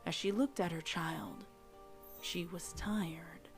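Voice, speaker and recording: A woman's voice: lowish voice, steady tone, slow. A woman giving a scripted, dramatic narration of a story. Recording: loud music behind voice. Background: music.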